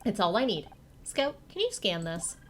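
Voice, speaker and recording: A woman's voice: mid-range voice, very animated, normal speed, faint music behind, natural voice. Woman playing a confident, wry amateur sleuth.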